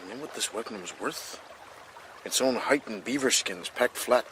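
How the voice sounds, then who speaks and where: An actor playing a wry, hearty gold prospector in a naturalistic radio drama, by turns understated and exuberant. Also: male voice, medium pitch, animated, moderate pace, background noise, lightly acted. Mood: wry, thoughtful.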